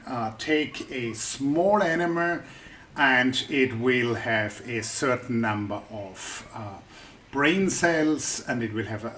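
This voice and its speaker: A man's voice: mid-range voice, fairly bright tone, a little hiss or hum. Male science YouTuber with a German accent, mid-range voice, loose chatty delivery that often circles back mid-sentence. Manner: chatty, thinking aloud with restarts. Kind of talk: explaining research for the general public.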